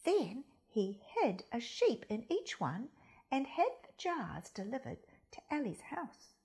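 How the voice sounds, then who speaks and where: Lady doing storytime for kids, high voice, super swoopy and dramatic, takes her time. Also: sing-song. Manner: dramatic, deliberate delivery with hushed emphasis. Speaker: storyteller reading a picture book to children. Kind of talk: expressive children's story reading.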